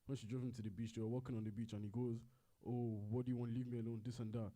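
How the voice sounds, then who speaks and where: A man's voice: mid-range voice, little pitch movement, very fast, dark tone. A man casually retelling a story in a rambling, repetitive way and correcting himself as he goes. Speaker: co-host.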